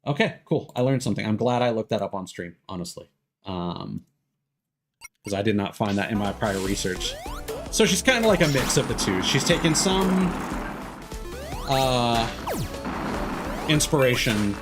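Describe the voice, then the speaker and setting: A man's voice: medium pitch, sing-song, normal speed, long pauses. A man doing casual, rambling livestream commentary over a video game, thinking aloud as he goes. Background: music behind the voice.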